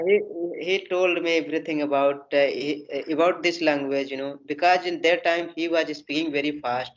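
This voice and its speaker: A man in his thirties, a native Hindi speaker with an Indian accent, talking in practice English and leaning constantly on "you know" on a video call. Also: on the high side. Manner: repetitive, leans on "you know" and "oh my God". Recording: muffled video call audio.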